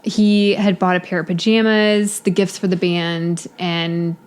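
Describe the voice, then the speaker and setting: A woman's voice: lowish voice, little pitch movement, unhurried, smooth. A woman co-hosting a podcast, telling a case in casual chat with a lot of "you know" fillers. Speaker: podcast co-host.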